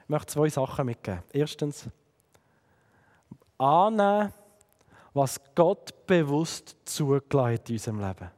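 A man in his thirties with a Swiss German accent from the Sense district of Fribourg, preaching an earnest sermon that drops to quiet emphasis. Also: fairly high pitch, lively intonation, a little slow, long pauses, in a large hall. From Switzerland.

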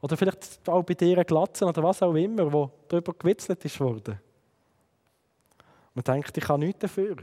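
A man in his thirties with a Swiss German accent from the Sense district of Fribourg, preaching an earnest sermon that drops to quiet emphasis. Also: on the high side, moderate pace, big-room sound. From Switzerland.

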